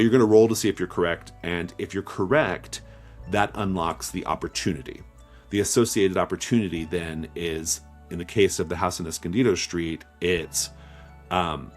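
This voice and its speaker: A man speaking as an interview guest and game designer, explaining things step by step in a conversational way and often checking in as he goes. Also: low voice.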